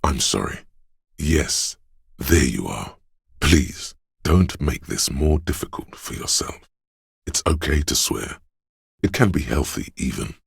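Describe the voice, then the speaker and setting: Very deep, gravelly voice of willpower. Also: male voice, animated, slow, no echo, clean studio sound, full character voice. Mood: gentle reassurance. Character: inner voice of willpower, a stoic, protective, encouraging male conscience. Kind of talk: dramatic game voice acting.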